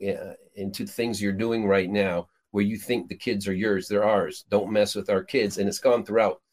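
Low-voiced man talking in rambling chat. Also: fairly even intonation, normal speed, a little mellow. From Peru. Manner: rambling, many restarts and fillers. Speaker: prophetic minister and show host. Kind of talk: casual chat.

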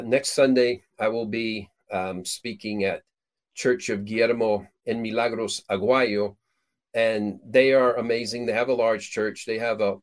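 Man, middle-aged, lowish voice, rambling casual chat, many restarts. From Peru. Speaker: prophetic minister and show host.

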